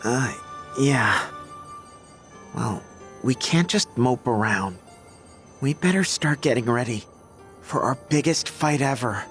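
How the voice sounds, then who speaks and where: An actress playing a plucky, casual young female engineer in a video game cutscene, expressive and excitable. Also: rumbling low, takes it slowly, pauses often, faint music behind, heavily acted. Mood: casual, determined.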